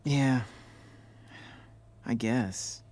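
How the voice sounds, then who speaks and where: A man's voice: medium pitch, extremely slow, a little mellow, slight background noise. A man playing a shy, earnest young boy in a video game drama. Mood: sad. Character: shy, earnest young boy who loves fantasy games.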